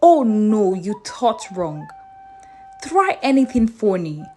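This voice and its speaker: A woman's voice: mid-range voice, big pitch swings, takes it slowly, dark tone, faint music behind. A woman voicing a bold, defiant young village maiden from a folktale, emphatic and challenging.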